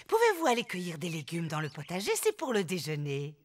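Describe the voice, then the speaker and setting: A woman's voice: on the low side, wildly swooping pitch, fairly quick. A woman voicing a caring grandmother pig in a cartoon dub, speaking fondly and coaxingly. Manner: fond, coaxing. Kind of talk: cartoon dubbing. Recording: cartoon soundtrack with music.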